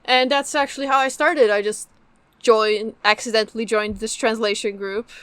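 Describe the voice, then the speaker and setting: A woman's voice: a little high, steady tone, normal speed, fairly bright tone, clear, resonant tone, no echo. A young adult woman, a native Polish speaker, answering interview questions casually as a guest. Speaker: guest, game translator and developer.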